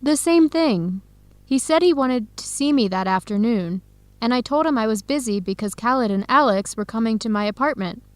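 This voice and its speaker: An actress plays a hopeful young woman in an educational radio drama. Her voice sits a little high, and she delivers each line clearly and deliberately. The recording is close and dry. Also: expressive, no room sound, natural voice. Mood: frustrated, explaining. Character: young Ethiopian-American woman exploring Ethiopia, earnest and hopeful.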